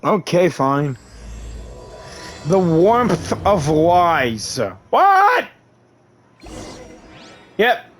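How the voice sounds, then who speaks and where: Male game streamer: casual, wry, very animated pitch, light music underneath. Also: extremely slow, pauses often. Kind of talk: casual gameplay reading and commentary.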